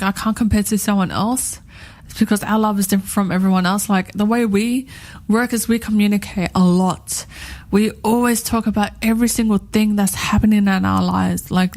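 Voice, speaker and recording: A woman's voice: mid-range voice, darkish tone. A woman in her thirties co-hosting a radio chat show, talking in a rambling, heartfelt way and repeating herself often. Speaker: radio co-host.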